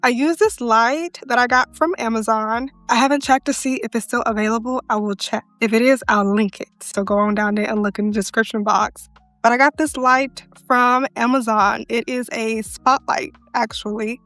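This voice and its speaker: Soft-voiced woman chatting to camera. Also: on the high side, fairly even intonation, normal speed, dry recording. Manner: chatty, talks straight to the viewer. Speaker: content creator. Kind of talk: casual home setup tour vlog. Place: living room at home. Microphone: handheld wireless mic. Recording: dry close mic with faint music.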